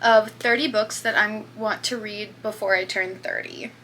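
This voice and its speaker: A young woman talks casually to her channel's viewers. Her voice is bright and sits at a middling pitch. She rambles a little, with fillers and asides as she goes. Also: fairly even intonation, a little slow, faint music behind, poor audio. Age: 20s. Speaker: booktube host. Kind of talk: casual chat.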